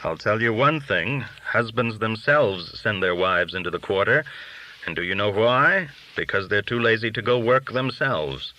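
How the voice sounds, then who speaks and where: A man's voice: fairly low pitch, expressive, moderate pace, pushed and bright, low-quality recording. A man reading an audiobook, voicing a worldly, cynical, boastful underworld dealer who talks in glib, argumentative rhetorical questions. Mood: scornful, argumentative. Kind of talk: audiobook character dialogue.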